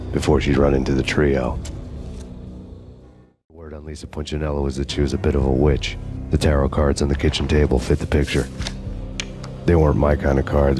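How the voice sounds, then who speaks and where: An actor voicing a weary hardboiled cop who narrates in a grim, slow noir monologue over game music. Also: male voice, extremely low pitch.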